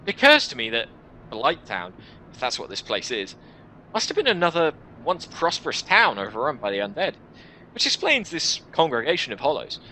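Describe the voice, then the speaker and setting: A man hosting a gaming video, reading a visual novel aloud in an expressive, theatrical way with casual asides. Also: medium pitch, normal speed, a little hiss or hum, rough recording.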